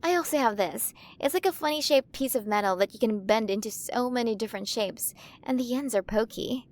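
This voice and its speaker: A woman voicing an ancient alien queen in an ASMR roleplay, curious and playful, gently condescending and possessive toward her human. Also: on the high side, fairly quick, lightly acted. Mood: excited, curious. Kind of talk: ASMR roleplay, acted monologue to the listener.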